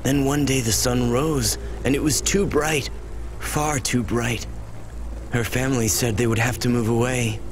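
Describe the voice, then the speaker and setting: A man's voice: mid-range voice, steady tone, a little slow, faint music behind, lightly acted, rough recording. A man playing a confused, lovelorn, childlike old soul in a video game, speaking earnestly and naively. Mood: wistful, confused. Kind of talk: video game dialogue acting.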